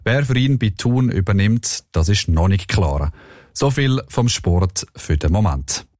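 A man's voice: lowish voice, expressive, a little slow, a little mellow, no room sound, studio quality. A man with a Swiss German accent presenting sports news on the radio.

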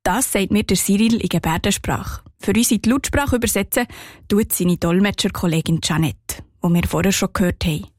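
A woman's voice: medium pitch, fairly quick, dry recording, studio quality. Swiss German woman reporting on radio. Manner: calm, clear storytelling delivery. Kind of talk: scripted radio report narration.

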